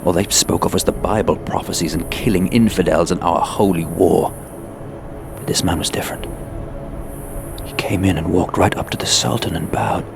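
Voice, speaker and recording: Give me a deep-voiced, weary crusader. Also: male voice, fairly even intonation, normal speed, creaky, over music. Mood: sombre recollection. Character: world-weary crusade soldier recounting a holy war. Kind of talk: dramatic first-person radio narration.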